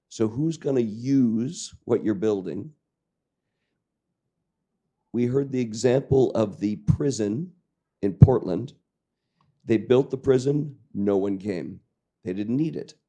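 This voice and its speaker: Croaky man lecturing a class. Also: on the low side, dark tone. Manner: jokey, engaging the audience with questions. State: croaky voice, clearing throat. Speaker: lecturer on public-private partnerships. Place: lecture room with live audience.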